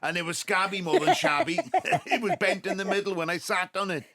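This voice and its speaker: A man's voice: sits high, sing-song, a little fast, creaky, pushed and bright, dry recording, full character voice. An actor playing a jovial, mischievous ageing father with a Welsh accent, full of earthy banter in a naturalistic audio drama. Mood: amused, animated. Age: 70s. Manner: chuckling, earthy, hearty banter.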